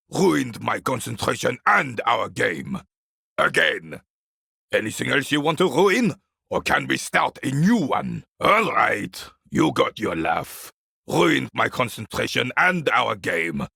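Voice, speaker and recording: Casting: scornful old soldier for a game, heavy fry, rasping, wildly animated delivery. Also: male voice, medium pitch, no room sound, studio quality, heavily acted. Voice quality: gravelly, raspy. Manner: gruff, scornful, theatrical, barking at younger men. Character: bitter, proud old royalist war veteran. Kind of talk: video game character dialogue.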